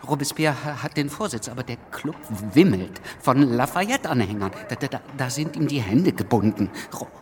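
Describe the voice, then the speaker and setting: An actor playing an earnest young revolutionary journalist in a historical radio drama. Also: male voice, on the low side, unhurried, slight background noise, lightly acted. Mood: agitated. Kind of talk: radio drama acting and recitation.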